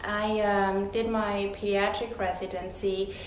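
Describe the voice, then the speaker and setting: A middle-aged woman from Romania with a Romanian accent, a pediatrician answering interview questions haltingly with many pauses. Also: medium pitch, little pitch movement. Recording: echoey room with faint noise.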